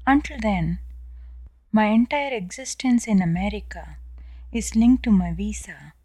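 A woman's voice: mid-range voice, unhurried, dark tone, no echo, studio quality. Indian woman narrating a personal essay. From South India. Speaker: podcast essayist. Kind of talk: first-person scripted narration.

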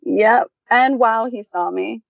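A woman's voice: on the high side, a little slow, gravelly, no room sound. A young adult woman calling in for advice, giving a casual, conversational account of her personal life. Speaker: caller seeking relationship advice.

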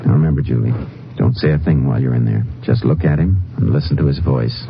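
An actor playing a calm, steady Texas Ranger in a 1950s western radio drama, plainspoken, firm and persuasive. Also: male voice, very deep voice, fast talker, vocal fry, soft background music. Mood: reassuring. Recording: dull, noisy 1950s radio recording.

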